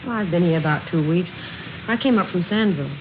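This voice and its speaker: A woman's voice: lowish voice, expressive, fast talker, close to an everyday voice. An actress playing a polite, proud, guarded young woman out of work, in 1940s radio drama style. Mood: guarded, curious. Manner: polite, guarded, gently wry. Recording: muffled, noisy old radio recording.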